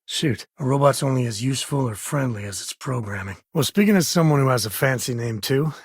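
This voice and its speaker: Really raspy space cowboy dad for a game, wry n folksy, caring, super dry close sound. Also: male voice, mid-range voice, animated, brisk, no echo. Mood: candid, wry. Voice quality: husky, raspy. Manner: folksy drawl-like phrasing, wry and conversational. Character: rugged, wry, caring space-frontier explorer and protective father. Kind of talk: video game companion dialogue.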